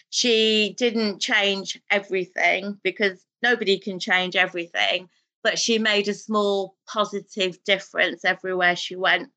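A woman, a guest in an interview, telling stories in an animated way and laughing easily. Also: mid-range voice. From Britain, raised in a Pakistani family. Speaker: guest, television drama writer. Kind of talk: casual interview talk.

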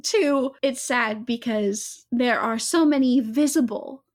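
Casting: American woman with a high voice, casual personal storyteller in a conversational podcast. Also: takes it slowly.

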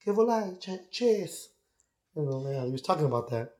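Mellow-voiced man chatting on a podcast. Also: fairly high pitch, very animated, moderate pace. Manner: rambling, with many restarts and put-on quoted voices. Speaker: podcast co-host. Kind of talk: casual chat. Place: Miami.

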